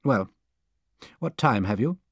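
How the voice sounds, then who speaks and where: Man as a coolly exacting English gentleman. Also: medium pitch, expressive, takes it slowly, slightly rough, dry recording, studio quality, exaggerated voice.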